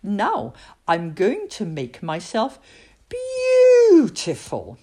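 A woman voicing an excitable, vain young girl in a children's storybook reading. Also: a little slow, full character voice. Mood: eager. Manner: high, excited, exclamatory.

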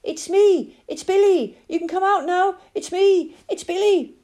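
A woman reads as a small, grieving boy in a storybook, his voice raised high above her own. He speaks anxiously and quietly, and at times calls out in desperate hope. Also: animated, normal speed, gravelly. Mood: calling out. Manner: anxious, pleading.

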